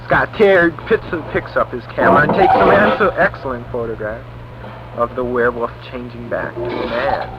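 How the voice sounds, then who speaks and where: A man's voice: medium pitch, normal speed, very noisy. A man narrating a scripted horror story in a stilted, formal read delivery. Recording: dull lo-fi recording under loud music.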